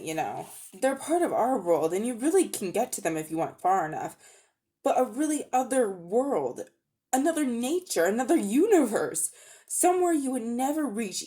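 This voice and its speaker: Storybook uncle: elderly, pompous amateur magician, very animated delivery female reader playing male. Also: a little high, pitched above the performer's own voice. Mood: rapt, secretive. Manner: pompous, self-important, easily affronted. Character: vain, pompous, grey-haired old uncle, an amateur magician.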